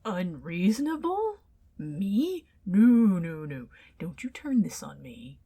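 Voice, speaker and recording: Woman acting a huffy, slow-spoken tsundere ghost. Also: lowish voice, big pitch swings, warm tone, husky, exaggerated voice. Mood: huffy, protesting. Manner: stammering, huffy, defensive. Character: indignant, flustered tsundere ghost woman, over a century old. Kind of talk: ASMR roleplay acting.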